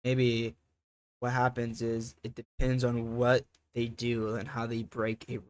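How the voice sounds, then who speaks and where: A man's voice: medium pitch, completely flat delivery, slow. A man giving casual off-the-cuff reaction commentary, thinking aloud hesitantly and often trailing off. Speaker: reaction video host.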